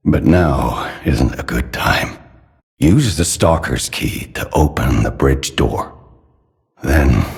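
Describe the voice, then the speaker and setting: An actor playing an old, fatherly puppet maker in a video game, tender yet menacingly obsessive, speaking in a theatrical, solemn, measured way. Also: rumbling low, full character voice. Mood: calm, grave. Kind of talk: dramatic video game character acting. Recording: long cavernous reverb.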